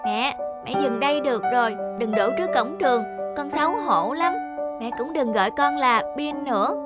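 A sheltered cartoon boy, high-pitched and plaintive, protesting like an embarrassed son. Loud music runs behind the voice. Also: lively intonation, moderate pace. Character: frail, sheltered, embarrassed teenage boy, pleading with mother. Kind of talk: animated moral-tale dubbing.

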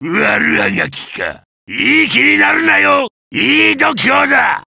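Brutal giant monster warrior, video game battle lines, raspy roar, wildly swinging pitch, bullying, menacing. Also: male voice, wildly swooping pitch, slow, sharp tone, no echo, clean studio sound, full character voice. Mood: menacing taunting. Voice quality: gravelly, rough roar. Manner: coarse, taunting, menacing.

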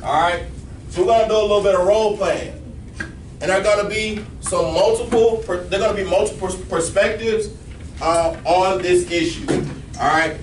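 A man's voice: high voice, moderate pace. A male teacher giving directions to a class, often checking in with "all right?". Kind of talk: classroom instruction. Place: large classroom with students. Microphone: far camera at back of room. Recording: echoey classroom from far off, noisy.

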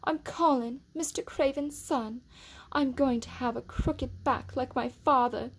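A woman reading a storybook aloud and voicing a sickly, spoiled young boy in a raised, plaintive, sniffling tone, with a breathy voice. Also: high voice, fairly even intonation, measured pace, voice pushed up, heavily acted. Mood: gloomy.

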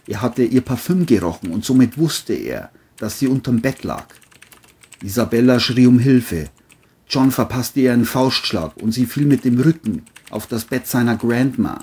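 A man's voice: mid-range voice, a little mellow, husky. A man narrating a scripted crime story as an audiobook-style reading.

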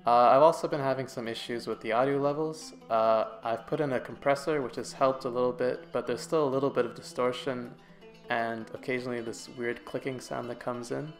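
A man's voice: mid-range voice, steady tone, brisk, faint music behind. A young man presenting as a student, narrating a project demonstration in an informal, tentative way. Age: young adult. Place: voice-over to slides.

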